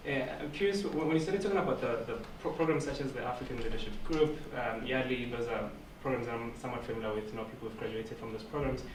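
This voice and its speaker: A man's voice: medium pitch, fast talker, hardly any pauses. A male student asks a question from the audience, hesitant and repeating words. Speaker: MBA student asking a question. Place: seminar room. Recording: distant, echoey, noisy.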